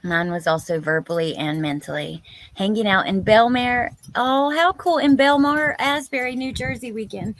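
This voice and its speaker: A woman's voice: on the high side, normal speed. A woman in her thirties hosting a live stream, chatting informally and exclamatorily with viewers and reading out their comments.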